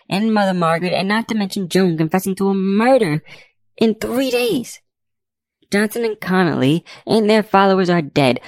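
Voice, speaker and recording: A woman's voice: on the low side, vocal fry, darkish tone, no echo, voice pushed up. A woman voicing a bold, argumentative, pleading female private investigator in an audiobook. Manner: insistent, argumentative.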